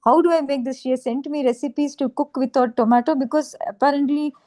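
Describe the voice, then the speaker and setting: A woman speaks on a video call. Her voice is slightly high, and she is polite, with many fillers and restarts. The call audio is plain. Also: steady tone, fairly quick, dark tone. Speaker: program client. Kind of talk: casual online meeting talk.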